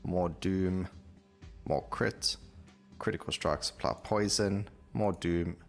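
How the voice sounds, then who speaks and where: Deep gravelly man doing gameplay commentary. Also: fairly even intonation, slow. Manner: thinking aloud, conversational, repeats himself. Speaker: gaming video host. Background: game music.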